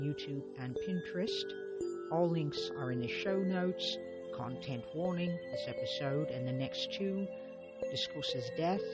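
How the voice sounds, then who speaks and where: A man hosting his own podcast, narrating a mystery in a conversational way with frequent restarts and hesitations. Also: on the high side. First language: not Russian. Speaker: podcast host.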